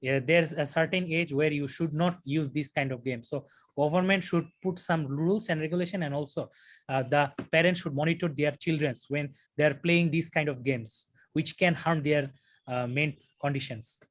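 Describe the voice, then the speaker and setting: Brief: young Bangladeshi man, exam candidate, halting, fairly high pitch. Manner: hesitant, many fillers and restarts. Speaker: test candidate. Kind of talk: mock speaking exam answers.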